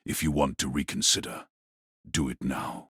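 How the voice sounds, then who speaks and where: Casting: ancient villainous mage, extremely low raspy voice, gloating and sinister, dramatised audiobook. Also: male voice, pushed and bright, no room sound, clean studio sound, full character voice. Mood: condescending, calculating. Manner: slow, sinister, condescending. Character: wicked old male magus patriarch, cold, mocking, gloating.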